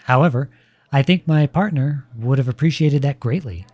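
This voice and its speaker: A man playing a protective boyfriend in a scripted audio roleplay, with measured, formal, pointed phrasing, polite but cutting. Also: medium pitch, a little mellow, no echo, lightly acted. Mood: cool, probing.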